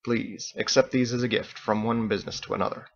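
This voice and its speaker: A man playing a wry, courteous, ageless sailor captain in an audiobook story, speaking in a measured, courtly way. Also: on the low side, steady tone, creaky, lo-fi sound. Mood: musing.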